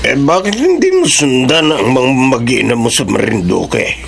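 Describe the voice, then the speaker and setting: An actor with a Filipino accent playing an easygoing, teasing drinking buddy in a radio drama. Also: male voice, medium pitch, big pitch swings, normal speed, creaky, loud music behind the voice. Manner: casual, chuckling, joking. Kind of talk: Tagalog radio drama acting.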